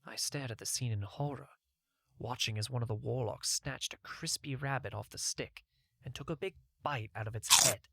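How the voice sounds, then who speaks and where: A man's voice: mid-range voice, normal speed, vocal fry, bright, forceful tone, a little hiss or hum. A man narrating a first-person fantasy audiobook in a scripted storytelling style.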